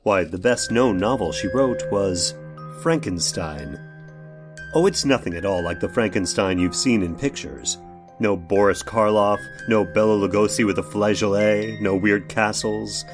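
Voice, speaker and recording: An actor playing a courteous, ailing inventor of artificial limbs in a radio horror drama, speaking in a halting, rambling, genteel way. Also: male voice, on the low side, over music. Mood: polite, uneasy. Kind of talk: old time radio horror drama acting.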